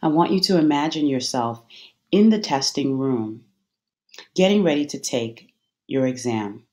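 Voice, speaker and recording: Measured guided meditation voice. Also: medium pitch, expressive, warm tone. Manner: measured, soothing instructional delivery with deliberate pauses.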